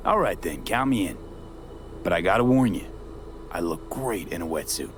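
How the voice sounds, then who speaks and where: An actor playing a laid-back, wry young American mercenary in a video game, dry and casual. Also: male voice, deep voice, animated, normal speed, a little hiss or hum. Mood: playful. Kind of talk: video game dialogue acting.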